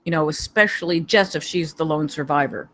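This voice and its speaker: A woman's voice: deep voice, brisk, a bit bright. A woman giving casual feedback in a hesitant, repetitive way, searching for words. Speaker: table read participant giving feedback.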